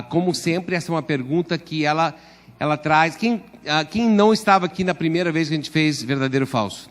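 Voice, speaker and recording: A man teaching a church class in a casual, joking way, often correcting himself and raising his voice to direct the group; Portuguese is not his first language. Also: fairly high pitch. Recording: long reverb in a hall.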